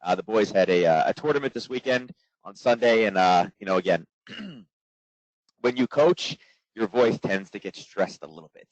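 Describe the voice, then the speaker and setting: A man in his forties, hoarse from coaching, giving a trading webinar in a quick, casual way full of fillers. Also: fairly low pitch, animated, no echo. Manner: quick, filler-heavy, conversational. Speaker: trading instructor. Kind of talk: live webinar presentation. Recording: dull, dry lo-fi webinar audio.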